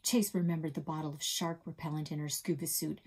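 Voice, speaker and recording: A woman in her forties reading a children's book aloud expressively from a small office, often dropping to a soft whisper, recorded on a desk webcam. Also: sits low, steady tone, fairly quick, breathy edge. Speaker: library storyteller. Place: small office with bulletin board.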